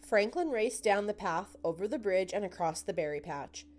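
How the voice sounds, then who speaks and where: A woman reads a children's story aloud in a middle-pitched voice. It is scripted storytime narration, with music playing behind her. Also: animated, moderate pace, darkish tone.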